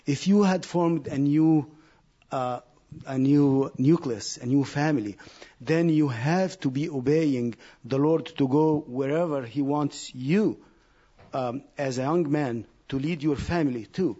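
Young male participant, mellow and earnest, lo-fi sound. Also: a little high, slightly rough. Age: young adult. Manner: earnest, thinking aloud with pauses. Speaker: Bible study participant. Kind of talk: earnest discussion. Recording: muffled lo-fi recording.

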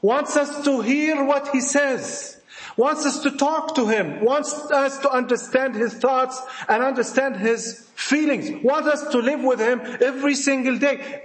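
A man's voice: very high-pitched, a little slow. A man with an Egyptian accent preaching a sermon to a congregation, emphatic and repetitive, putting rhetorical questions to his listeners. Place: church hall with a congregation. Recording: echoey room.

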